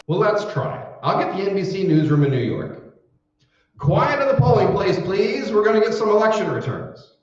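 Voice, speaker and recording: Man as wisecracking folksy radio-comedy husband, lively swooping delivery, recorded at a distance in a boomy hall. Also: moderate pace. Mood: brisk, folksy. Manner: folksy, joking, brash. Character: wisecracking, folksy husband running a polling place. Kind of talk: 1940s radio comedy acting. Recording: echoey hall, distant mic, dull sound.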